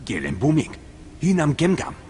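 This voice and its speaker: An actor voicing an alien technician in a space game, chattering animatedly in exotic invented syllables. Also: male voice, on the high side, huge pitch swings, moderate pace, darkish tone, long reverb tail, slight background noise.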